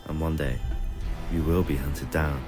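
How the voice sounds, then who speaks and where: Mysterious menacing woman read by a man, very deep. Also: fairly even intonation, a little slow, over music. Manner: cryptic, threatening. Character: mysterious, menacing woman from a time-travelling race. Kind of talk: fan audio drama reading.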